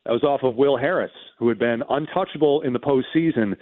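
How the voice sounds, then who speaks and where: A man's voice: medium pitch, brisk, dry recording, phone-quality sound. A man talking off the cuff as a sports radio commentator, recounting games in emphatic detail and often repeating a phrase for stress. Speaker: radio baseball commentator and guest.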